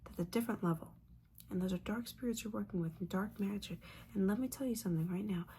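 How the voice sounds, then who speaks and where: A woman's voice: medium pitch, dark tone, slight background noise, close to an everyday voice. Woman playing a whispering, disappointed witch mentor. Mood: scolding. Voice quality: breathy, mostly whispered. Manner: scolding, motherly, wry, halting. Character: disappointed older witch mentor, bossy, judgmental yet caring. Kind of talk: whispered ASMR roleplay monologue.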